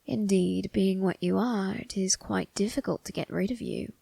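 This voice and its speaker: Woman as a creaky, husky ancient undertaker. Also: medium pitch, fairly even intonation, mellow, no room sound. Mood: sly, teasing. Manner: slow, formal, teasing delivery with drawn-out tag questions. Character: eerie, teasing, ancient male undertaker, sly and amused. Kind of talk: ASMR character roleplay.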